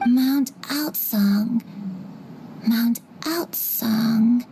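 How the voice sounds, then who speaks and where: A woman playing a gentle granny who has lost her memory in a video game, hesitant and wistful. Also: a little high, extremely slow, slight background noise. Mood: distressed, confused. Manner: hesitant, soft-spoken, wistful.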